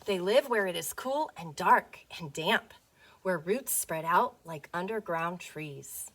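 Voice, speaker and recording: A woman teacher reading a picture book aloud to young children, playful and expressive, often putting questions to them. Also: on the low side, slow, slight background noise.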